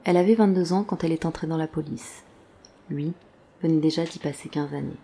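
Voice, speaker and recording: Mellow, measured drama narrator. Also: medium pitch, creaky, slightly rough. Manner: measured, literary storytelling. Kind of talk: scripted audio drama narration. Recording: faint background ambience.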